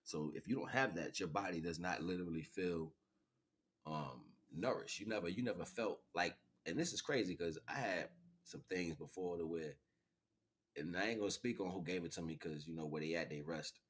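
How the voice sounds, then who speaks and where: A man's voice: deep voice, moderate pace, husky. A man in his forties hosting an informal livestream monologue, talking in a rambling, slangy way, repeating phrases and checking in with the listener. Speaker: livestream host.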